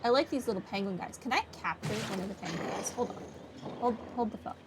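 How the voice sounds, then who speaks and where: A woman's voice: mid-range voice, fast, noisy background. A woman giving casual gameplay commentary, talking to herself and to the characters on screen. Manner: talks to herself and to game characters. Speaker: gaming streamer.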